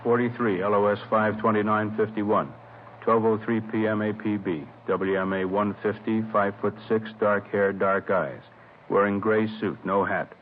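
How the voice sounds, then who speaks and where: An actor playing an impersonal police dispatcher reading a clipped, list-like bulletin in a 1950s radio dramatization. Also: male voice, on the low side, steady tone, moderate pace, phone-quality sound, lightly acted. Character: mechanical, impersonal male police teletype dispatcher. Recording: thin, faintly hissy old radio recording.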